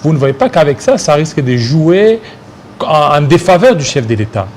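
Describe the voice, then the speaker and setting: A man's voice: on the high side, big pitch swings, moderate pace, darkish tone, slight background noise. A man with a Congolese French accent hosting a political interview, putting probing, challenging questions. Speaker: interviewer, host.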